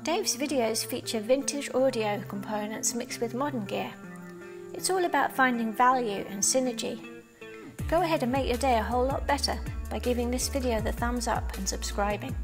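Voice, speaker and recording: A woman's voice: fairly high pitch, moderate pace. A woman reading a scripted promotional introduction as an announcer. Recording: guitar music behind the voice. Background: guitar music.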